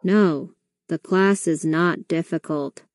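A woman reading an example sentence deliberately for language learners. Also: fairly low pitch, darkish tone.

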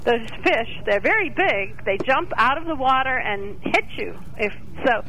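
A woman's voice: on the high side, expressive, measured pace, sharp tone, slight background noise, rough recording. A woman calling in to a talk radio show over the phone, giving her opinions in an outspoken, conversational way.

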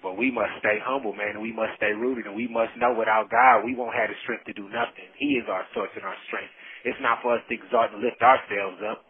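A man's voice: on the low side, steady tone, a little fast. A man giving heartfelt, preachy testimony in casual conversation, repeating phrases for emphasis. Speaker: incarcerated storyteller. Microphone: phone call. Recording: muffled lo-fi phone call.

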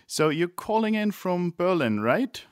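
A middle-aged man hosts a casual interview over a video call. He talks in a relaxed way, often restarting his questions and stumbling over fillers. His voice sounds dry and close, with little room around it. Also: sits high, unhurried, clear, resonant tone, dry recording. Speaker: podcast host.

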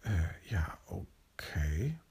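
A man voicing an old male leopard, once feared, now bewildered and hesitant, with a gravelly husky voice. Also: low voice, very animated, very slow, a little mellow, voice pushed down, heavily acted.

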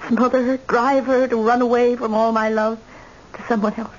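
A woman's voice: a little high, little pitch movement, moderate pace, natural voice. Woman acting tough, imperious old grandmother, dull noisy radio sound. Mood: pleading, brooding. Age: older. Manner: imperious, emphatic.

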